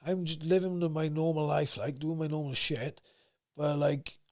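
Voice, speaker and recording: A young man with a South Wales valleys accent and a gruff voice, talking bluntly and swearing freely as a podcast guest. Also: fairly high pitch, steady tone, moderate pace, darkish tone. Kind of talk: casual interview chat.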